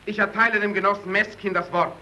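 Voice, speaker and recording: Piercing, high-voiced formal chairman. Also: level tone, fast talker, pushed and bright, exaggerated voice. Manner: official, oratorical. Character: stern, formal Soviet meeting chairman. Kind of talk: vintage German radio play acting. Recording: faintly noisy old radio recording.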